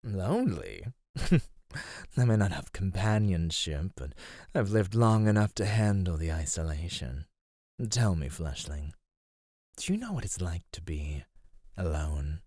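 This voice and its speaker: A man playing an ancient underground monster in an ASMR roleplay, speaking in a measured, menacing, teasing way with formal wording. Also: low-pitched, very animated, darkish tone, no room sound, clean studio sound, heavily acted. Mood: brooding, curious. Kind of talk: ASMR roleplay, slow intimate scripted acting.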